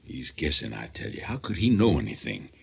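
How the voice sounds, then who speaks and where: A man's voice: very deep voice, very animated, fairly quick, creaky, breathy edge, exaggerated voice. An actor playing a woman's secret lover in a radio drama, firm, rational and placating, with a brusque reassurance. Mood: dismissive calm.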